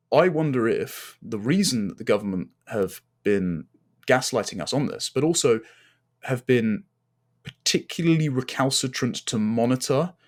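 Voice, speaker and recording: A man speaking as a podcast guest, giving emphatic, articulate political commentary in long, building arguments. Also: fairly low pitch.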